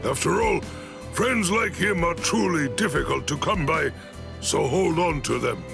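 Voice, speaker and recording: An actor playing a stern yet kindly old military head captain in an anime game, speaking in a measured, formal, gravelly voice. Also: male voice, on the high side, music in the background. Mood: gently advising. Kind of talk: scripted anime game cutscene dialogue.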